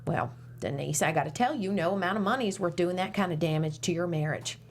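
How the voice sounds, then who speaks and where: A woman's voice: fairly low pitch, fast. A woman playing a proud, wounded, assertive conservative radio host and mother at a script table read. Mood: brisk, polished. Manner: assertive, polished on-air patter.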